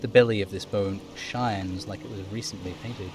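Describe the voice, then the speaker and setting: A man's voice: fairly low pitch, fairly even intonation, normal speed, background noise. A man streaming a game, reading descriptive prose aloud like a narrator and dropping in casual asides.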